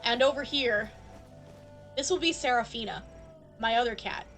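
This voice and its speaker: Woman game streamer, highish voice, playful and chatty, casual talk to viewers, light music underneath. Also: moderate pace, a bit bright. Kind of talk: casual chat to stream viewers during gameplay. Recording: background music behind voice.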